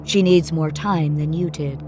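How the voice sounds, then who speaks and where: A woman's voice: low-pitched, steady tone, a little slow. A woman voicing a kindly, matter-of-fact male caretaker with polished customer-service patter, in a dramatised ghost story. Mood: calm, matter-of-fact. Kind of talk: dramatised audiobook dialogue.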